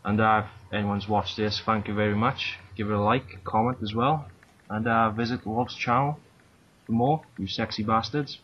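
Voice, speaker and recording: A man hosting a casual voice-call chat, chatty and laughing often, closing with thanks and shout-outs. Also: fairly low pitch, fairly even intonation, moderate pace. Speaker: channel host. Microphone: computer mic on a voice call. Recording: dull lo-fi voice-call audio with faint noise.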